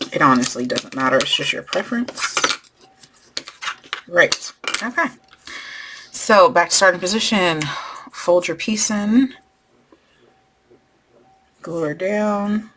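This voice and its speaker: A woman hosting a craft tutorial, losing her voice and clearing her throat as she talks through each step while working. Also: on the low side, background noise. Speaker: crafting channel host.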